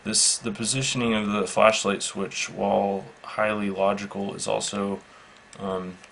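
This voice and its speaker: Low, gravelly man in flat monotone. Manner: matter-of-fact, halting, measured. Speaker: product reviewer. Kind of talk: casual unscripted product demonstration. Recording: faint background noise.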